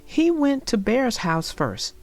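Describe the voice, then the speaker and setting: A woman narrating a children's storybook aloud with deliberate, expressive phrasing for young listeners. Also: fairly high pitch, soft background music.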